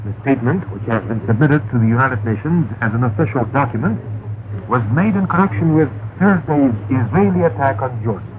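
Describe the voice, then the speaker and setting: A man reads a formal scripted news bulletin as a radio newsreader, in a measured, carefully enunciated way. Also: medium pitch, animated. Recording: noisy narrow-band shortwave radio recording.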